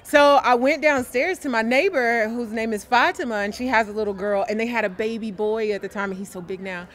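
A young woman from Dallas, chatty and animated, telling a personal story as an interviewee outdoors on a sunny lawn. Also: fairly high pitch, fairly quick, slight background noise. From Dallas, Texas. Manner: chatty and animated, gesturing with her hands. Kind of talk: casual storytelling.

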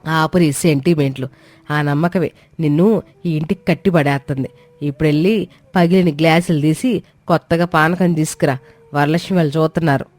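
A woman's voice: low voice, steady tone, a little fast, a little mellow, dry recording, close to an everyday voice. A woman voicing a bossy, cruel older mother-in-law in a dramatised moral story, sneering and commanding. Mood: cold, scornful. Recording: faint music behind.

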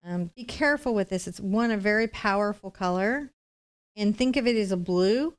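A woman's voice: medium pitch, animated, normal speed. A middle-aged woman giving a conversational step-by-step painting lesson, chatty and explanatory with reassuring asides. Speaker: painting instructor, host.

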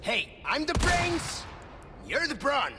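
A man's voice: very high voice, huge pitch swings, slow, in a large hall, noisy background, heavily acted. An actor playing a cocky, teasing Spanish ladies' man in a video game, with a Spanish accent and theatrical playfulness. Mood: exasperated banter. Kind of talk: dramatic video game dialogue.

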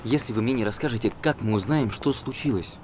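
A man's voice: medium pitch, quick pace, darkish tone, a little hiss or hum, close to an everyday voice. A young man playing a curious, coaxing amateur investigator in a screen drama.

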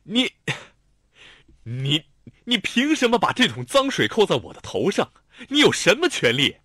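Brief: man playing a cornered young guy, hot-tempered, sharp and loud, storybook reading. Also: deep voice, sing-song, moderate pace, breathy edge, no echo, clean studio sound, full character voice. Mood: furious. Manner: angry, sharp, raised. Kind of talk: audiobook character voice.

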